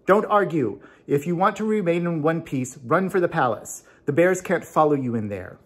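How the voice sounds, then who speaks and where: A man's voice: fairly high pitch, lively intonation, normal speed, slightly rough, voice pushed up. Man voicing a mysterious, commanding unseen man. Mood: urgent warning.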